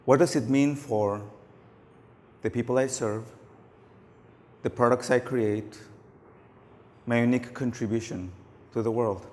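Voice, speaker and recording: A man's voice: medium pitch, steady tone, a little slow, long pauses, a little mellow. A middle-aged man losing his voice, giving a casual, anecdotal conference talk on stage with many fillers. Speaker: conference speaker. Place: large conference hall. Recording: long hall reverb.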